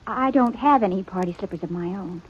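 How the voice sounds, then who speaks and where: A woman as a shy, husky country girl. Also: medium pitch, brisk, lightly acted. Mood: anxious. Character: shy, proud, downtrodden young country stepdaughter. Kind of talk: old-time radio western acting. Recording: muffled old radio broadcast.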